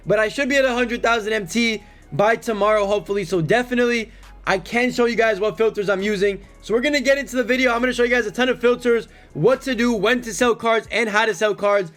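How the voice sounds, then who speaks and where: A young man with a hoarse, worn-out voice, energetically walking viewers through a video game in slangy, casual commentary. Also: high voice, fairly bright tone. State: hoarse, lost voice. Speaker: gaming YouTuber.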